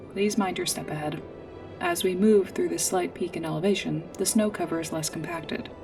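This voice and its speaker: A woman playing a polite, earnest android guide in a sci-fi ASMR roleplay, speaking in formal, precise, literal phrases with hesitant pauses. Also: lowish voice, creaky, far from the mic. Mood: polite, measured. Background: music.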